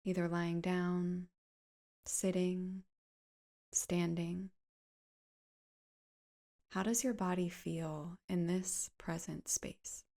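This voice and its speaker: A woman leading a guided meditation in a soft, gentle, soothing way, with unhurried phrases that turn breathy at the ends. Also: fairly low pitch, little pitch movement.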